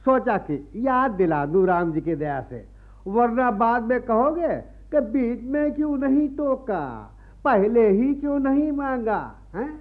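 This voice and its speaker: An actor in a radio play voicing a greedy, pompous Brahmin moneylender with a taunting, falsely pious manner. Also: male voice, very high voice, measured pace. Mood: needling. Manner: taunting, repeats a pious catchphrase. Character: greedy, wheedling male Brahmin moneylender, pompous village bully. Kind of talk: Hindi radio play acting. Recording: muffled lo-fi radio archive recording.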